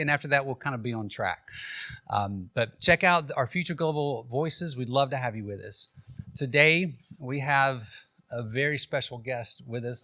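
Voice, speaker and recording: A man hosts a live talk event, reading announcements and leading an interview in a friendly, loose manner. His voice is mid-pitched and sounds close and dry, with little room around it. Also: pushed and bright, no echo. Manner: friendly, informal, with frequent um and uh.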